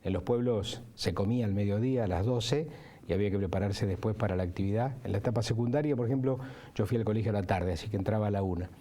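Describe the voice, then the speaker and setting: A man's voice: lowish voice, quick pace, dark tone. A man with an Argentine accent reminisces reflectively in an interview, often ending phrases with a tag question.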